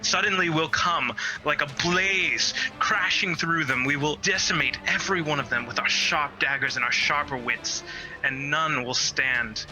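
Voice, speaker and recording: A man's voice: a little high, measured pace, bright, forceful tone, poor audio. A man playing a tabletop role-playing game, speaking as a player and in character. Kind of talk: in-character role-play speech and table banter. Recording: prominent music under the voice. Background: music.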